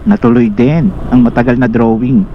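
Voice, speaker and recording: A man's voice: medium pitch, steady tone, moderate pace. A man with a Filipino accent chatting casually as a riding companion over a helmet intercom. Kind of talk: casual bantering chat. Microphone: helmet intercom mic. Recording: muffled helmet intercom with loud road noise.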